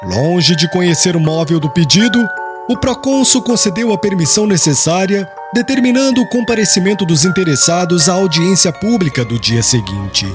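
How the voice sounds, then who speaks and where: A man's voice: high voice, expressive, normal speed. A man narrating an audiobook in a solemn, formal storytelling manner. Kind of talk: scripted literary narration. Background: music.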